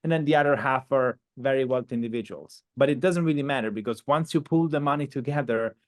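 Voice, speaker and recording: A man, co-director of a nonprofit, explaining his work in a relaxed conversation. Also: medium pitch, fast talker, dry recording. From Italy. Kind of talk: casual video call conversation.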